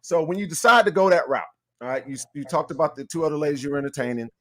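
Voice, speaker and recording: Male podcast host, slightly high voice, casual and talkative. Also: very fast, sharp tone. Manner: conversational, long wandering questions with slang. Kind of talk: casual interview questions.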